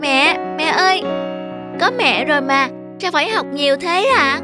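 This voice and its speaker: An adult plays the youngest chameleon child in a cartoon, stubborn and whiny. The voice is very high and childish, with a pouting delivery, over music. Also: normal speed, natural voice. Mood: defiant, whiny.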